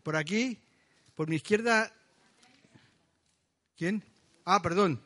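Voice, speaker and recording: High-pitched Spanish man leading church gathering. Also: slow pace, fairly bright tone. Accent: Spain. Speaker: church meeting leader. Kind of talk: informal church announcements, greeting visitors.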